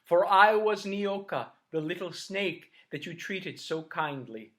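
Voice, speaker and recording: A woman voicing a dignified king who speaks in a low, formal, solemn way. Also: lively intonation, a little slow, fairly bright tone. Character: dignified king, transformed from a snake.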